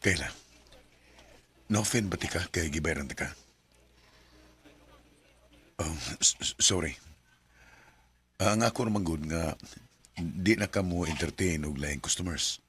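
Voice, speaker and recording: An earnest, smitten young suitor played by a man with a very low voice. He speaks in a reassuring, sincere way in an amateur radio drama. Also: measured pace, long pauses, a bit bright.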